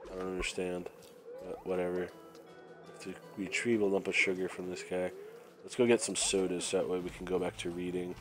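Low, raspy-nosed gamer with a cold. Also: male voice, fairly quick. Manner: relaxed, thinking aloud while playing. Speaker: gameplay commentator. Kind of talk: casual let's-play commentary. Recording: faint game music behind.

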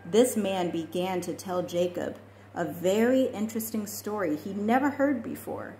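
A young adult woman reading a children's storybook aloud in a measured, expressive way. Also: medium pitch. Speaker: children's book reader. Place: small indoor room at home. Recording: echoey room with faint noise.

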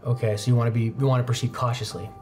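A young man narrating a horror tabletop roleplaying game as game master, with deliberate, suspenseful descriptions and dramatic pauses. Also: mid-range voice, level tone. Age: young adult. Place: indoor studio game set at a desk. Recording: music playing behind the voice. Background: background music.

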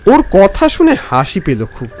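A man tells a scripted story in the first person. His voice is high and moves with lively, dramatic expression. Faint music runs underneath. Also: unhurried.

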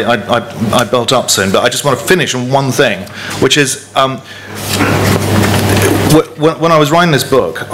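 A man from Northern Ireland, speaking with a soft Northern Irish accent in a mid-range voice, gives a serious talk into a podium microphone. He stumbles over repeated words as he goes, and a steady air-conditioning hiss runs under him in the function room. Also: mid-range voice. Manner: earnest, many restarts and repeated words. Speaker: author giving a talk. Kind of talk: lecture at a podium. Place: club function room, indoors.

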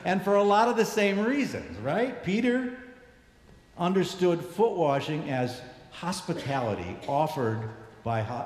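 A man in his sixties, a bishop giving a measured, reflective sermon in a large church sanctuary, his voice falling low at the ends of phrases. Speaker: bishop preaching. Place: large church sanctuary, standing before the altar. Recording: echoey church with long reverb.

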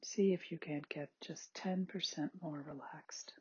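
A meditation guide with a husky, breathy, androgynous voice, giving gentle, unhurried body scan instructions in short spaced phrases. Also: medium pitch, fairly even intonation, no echo, highs cut off. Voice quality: very husky, breathy, at times near-whispered.

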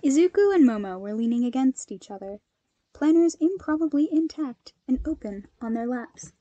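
A woman narrator with an expressive storytelling style. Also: high-pitched, moderate pace, dull audio. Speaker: fan fiction narrator.

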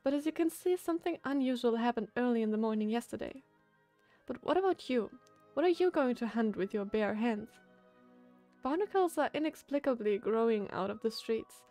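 A woman reading a composed young woman warrior in a game, with a gentle, polite manner. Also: on the high side, animated, normal speed, faint music behind.

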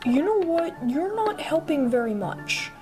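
A woman's voice: extremely high pitch, very animated, measured pace, loud music behind the voice, voice pushed up, full character voice. A woman voicing a chatty, polite young dog character searching for a friend, in a homemade toy-figure drama. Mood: flustered.

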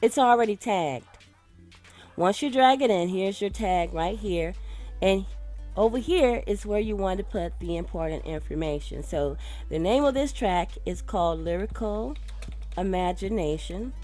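Female DJ, mid-pitched, informal step-by-step tutorial voice-over, speaks straight to the listener, light music underneath. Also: lively intonation, measured pace, darkish tone. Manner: informal, instructive, addressing listeners directly. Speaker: radio DJ giving a software tutorial. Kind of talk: casual screen-recorded tutorial. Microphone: voice-over for a screen recording.

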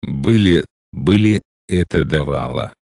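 A man slowly reading out short phrases for a language lesson, with careful, deliberate pronunciation. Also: low voice, lively intonation, vocal fry, a little mellow, no room sound, studio quality. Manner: slow, deliberate enunciation. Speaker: Russian phrase reader. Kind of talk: slow scripted phrase reading.

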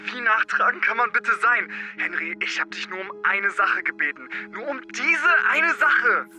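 Sharp, hot-tempered teenage boy. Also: sits high, expressive, moderate pace, soft background music, full character voice. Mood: furious. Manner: aggressive, confrontational. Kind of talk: audio drama dialogue.

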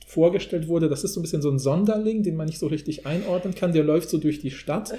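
Guy with a soft mellow voice, a bit high, rambling academic podcast chat. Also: fast talker. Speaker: podcast discussant. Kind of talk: casual analytical discussion.

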